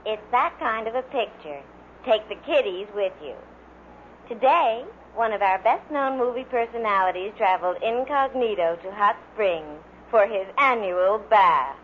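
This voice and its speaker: An American woman delivers scripted radio commentary. Her pitch is average. The vintage recording is dull, with a faint layer of noise. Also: lively intonation, unhurried. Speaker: Hollywood commentator.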